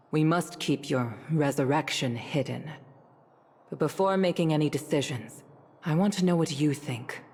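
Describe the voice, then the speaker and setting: A mature woman of rank in a video game, stern yet caring. Her voice is deep for a woman and breathy at the edges. She speaks with solemn, deliberate restraint, wrapped in long hall reverb. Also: darkish tone. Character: stern but caring mature female official, dignified and restrained. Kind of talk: scripted video game cutscene acting.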